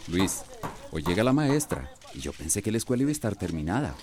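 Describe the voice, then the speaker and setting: An actor with a Costa Rican accent playing a proud, bossy widower who presides over a village association, in a radio drama. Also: male voice, low voice, sing-song, quick pace. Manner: bossy, self-assured. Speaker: community leader. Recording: hissy old radio drama tape.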